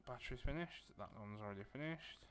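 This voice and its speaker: A man's voice: mid-range voice, expressive, fairly quick, a bit bright, soft background music. A man with a Lancashire accent giving casual commentary as he plays a building game, thinking aloud and muttering to himself. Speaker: gaming video creator.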